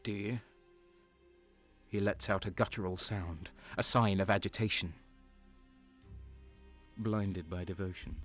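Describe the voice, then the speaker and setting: A male actor's cold, cynical renegade soldier tells his own story in a fairly low voice. The delivery is brooding and sardonic, in dramatic audio drama style, with music running underneath. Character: cynical, bitter, sadistic male turncoat soldier narrating his crimes. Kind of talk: dramatic first-person audio drama narration.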